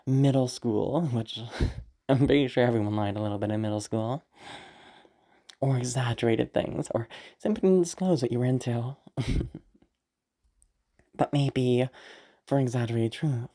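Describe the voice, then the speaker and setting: A woman with a low, deep, mellow voice talks through a casual afterword. She rambles chattily and laughs often. She is a little sick, so her throat sounds worn. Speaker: audio roleplay creator. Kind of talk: casual spoken afterword, explaining.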